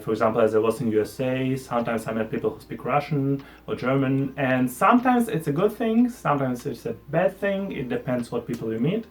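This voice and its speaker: A young man, a native Ukrainian speaker with an accent, chatting casually to camera in his vlog with a self-deprecating, friendly manner. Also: mid-range voice. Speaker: vlogger. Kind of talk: casual talking-head vlog.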